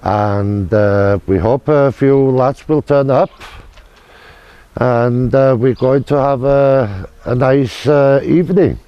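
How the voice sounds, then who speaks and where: A man speaking haltingly in short phrases in an unscripted interview as a club organiser. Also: medium pitch, clean tone, no echo, muffled recording.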